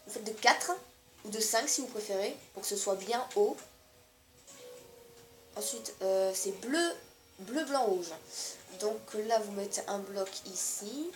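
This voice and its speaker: A woman's voice: mid-range voice, takes it slowly, pauses often, reverberant. A woman with a cold, giving hesitant step-by-step building instructions over gameplay. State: stuffy with a cold. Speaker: gaming video creator.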